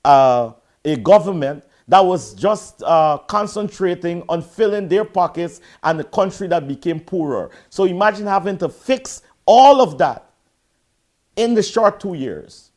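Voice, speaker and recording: A man's voice: high-pitched, expressive, a little slow, a little mellow. A Guyanese man hosting a call-in show, firmly correcting a caller he keeps calling "sir". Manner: firm, corrective, repeatedly addresses the caller as sir. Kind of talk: heated call-in debate.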